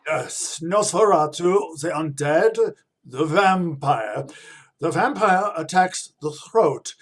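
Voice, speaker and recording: An actor playing a grave, learned vampire-hunting professor in a radio play, emphatic and declamatory. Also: male voice, fairly high pitch.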